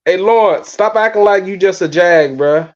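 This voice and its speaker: A man with a fairly high voice hosts an informal live sports chat. He talks in loose slang, doubling back on words and repeating them. The sound is slightly dull and muffled, coming through a phone. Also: normal speed. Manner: slangy, repetitive, stumbling over words. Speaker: host of a live sports fan show. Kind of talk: casual live-stream chat about college football.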